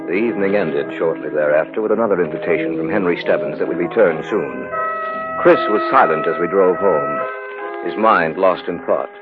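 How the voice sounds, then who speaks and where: An actor playing a sober, sceptical friend, measured and serious, narrating in 1950s radio drama style. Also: male voice, low-pitched, loud music behind the voice. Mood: grave narration. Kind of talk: 1950s radio acting, scripted first-person narration. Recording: tinny old radio recording.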